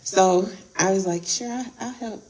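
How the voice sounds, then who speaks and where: A woman's voice: mid-range voice, a little slow, a little mellow, poor audio. A woman raised in Chicago, chatting casually in an interview and laughing often as she recalls producing an event.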